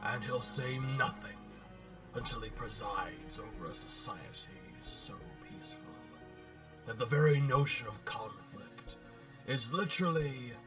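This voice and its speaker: A man's voice: fairly low pitch, distant mic. A man voicing an eloquent, pompous robot warlord who speaks with theatrical, polite menace in a dramatic comic book reading. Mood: solemn, zealous.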